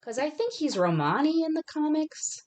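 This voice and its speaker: A woman's voice: sits high, big pitch swings, moderate pace, darkish tone. A woman co-hosting a podcast in a casual, conversational and opinionated way as she discusses films. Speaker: podcast co-host. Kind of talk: casual film discussion chat.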